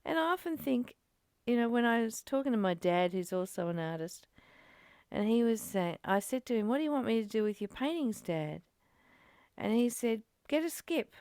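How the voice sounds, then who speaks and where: A woman's voice: a little high, animated, normal speed, a little mellow, clean tone, dry recording, clean studio sound. A woman reading aloud and reflecting in casual discussion, in a musing, questioning and conversational manner. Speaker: study group co-host, reader.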